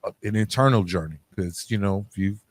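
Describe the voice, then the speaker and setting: A man's voice: fairly low pitch, a little fast, dry recording. A man hosting a podcast interview in a casual, chummy, conversational way. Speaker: podcast host interviewing a guest. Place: living room at home.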